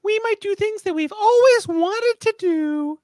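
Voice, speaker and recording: A woman's voice: very high-pitched, animated, a little slow, darkish tone, no echo, clean studio sound. A woman playing a confident, teasing female starship officer in an amateur radio play, with a coy, knowing manner. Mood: teasing, flirtatious.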